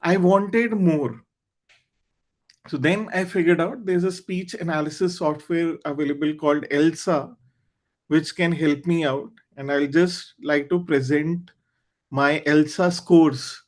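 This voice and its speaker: A man with a high voice hosts a club meeting over a video call. His is Hindi, and he talks in an earnest, candid way, reaching for words with small fillers. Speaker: meeting host. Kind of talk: online club meeting talk.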